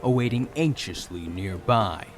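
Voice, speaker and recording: A man's voice: on the low side, animated, a little slow. A man narrating a fantasy story in a dramatic, scripted storytelling style. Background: soft music.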